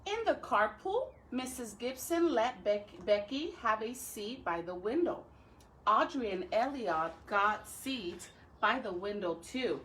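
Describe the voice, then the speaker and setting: A woman talking and reading aloud to young pupils as a school educator, with expressive, drawn-out phrasing. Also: a little high, a little hiss or hum. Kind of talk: read-aloud for children with chatty intro.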